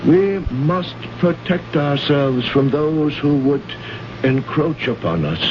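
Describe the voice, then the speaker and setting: An actor playing a courteous, guarded alien native in an old radio drama, speaking in formal, precise phrases without contractions. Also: male voice, fairly high pitch, lively intonation, slow. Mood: solemn. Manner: formal, precise, uncontracted speech. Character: courteous, guarded male alien native, innocent yet shrewd. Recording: noisy, muffled old radio recording.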